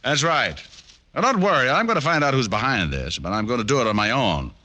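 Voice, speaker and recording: Wry, bright-voiced American radio detective. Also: male voice, medium pitch, sing-song, brisk. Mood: firm. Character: tough, wry private detective man, stubborn and probing. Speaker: private detective. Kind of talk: 1950s radio detective acting with first-person narration. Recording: old radio recording with hiss, clicks.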